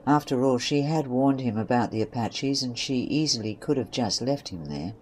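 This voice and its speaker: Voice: medium pitch, lively intonation, normal speed, darkish tone, rough recording. A narrator reading scripted third-person story passages for an amateur western audio drama.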